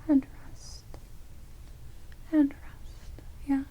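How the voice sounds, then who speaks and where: A woman playing a sardonic, playful vampire caretaker in a soft, breathy ASMR roleplay whisper. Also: very slow. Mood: coaxing, playful. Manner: teasing, dry, casually chatty. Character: sardonic immortal female vampire, rude yet playful caretaker. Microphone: close binaural microphones. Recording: steady rain noise on binaural recording. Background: heavy rain.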